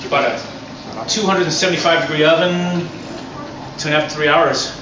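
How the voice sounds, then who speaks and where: A middle-aged man, a chef, explaining a dish in a relaxed, enthusiastic way with frequent restarts, on an outdoor restaurant patio. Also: a little high, normal speed. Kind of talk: cooking demonstration. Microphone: far camera. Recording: echoey, distant, dull, noisy outdoor audio.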